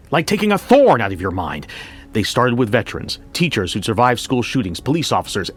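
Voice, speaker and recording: An actor playing a wry, reflective bank coordinator who tells his story in the first person, in a measured, conversational way. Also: lowish voice. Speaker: narrator. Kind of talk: first-person scripted audio fiction narration. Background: music.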